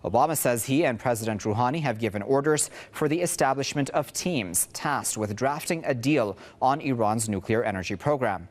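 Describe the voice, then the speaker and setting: A man's voice: medium pitch, normal speed, hardly any pauses. A man presenting the news, reading reports and putting questions to a guest in a broadcast style.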